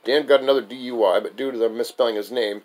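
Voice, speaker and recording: A man's voice: mid-range voice, fairly even intonation, fast talker. A man reading his own memoir aloud as a wry, amused storyteller. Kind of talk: memoir recitation, narrated reading.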